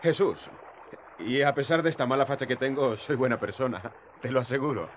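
An actor playing an earnest, friendly young peasant man in a radio drama, hesitant and expressive in speech. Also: medium pitch, fairly quick, slight background noise, natural voice. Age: young adult.

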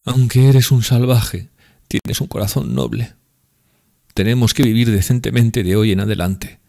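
A man voicing a drunk, impassioned young woman in an audiobook, speaking in a raised, breathy, pleading tone. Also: mid-range voice, steady tone, unhurried, warm tone, pitched above the performer's own voice. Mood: earnest. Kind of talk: audiobook character reading.

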